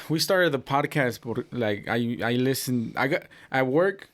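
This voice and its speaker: A man in his late twenties, from Mexico, chatting as a podcast guest, easygoing and quick to laugh. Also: mid-range voice, animated, a bit bright. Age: 20s. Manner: easygoing, laughs often, halting restarts. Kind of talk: casual chat.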